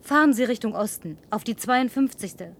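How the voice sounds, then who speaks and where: A woman's voice: fairly high pitch, animated, normal speed. An actress in a German radio play, playing a flirtatious, confident woman with a playful, teasing manner. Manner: teasing, coquettish. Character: flirtatious, confident, playful woman driving instructor in post-apocalyptic city.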